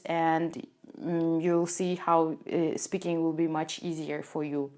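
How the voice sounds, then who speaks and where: Lowish-voiced woman teaching in a non-native English. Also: a little mellow. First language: Russian. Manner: earnest, explains step by step with non-native phrasing and frequent "uh" fillers. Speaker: IELTS teacher and channel host. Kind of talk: casual to-camera tips video. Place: small indoor room with a door behind. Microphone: lapel mic.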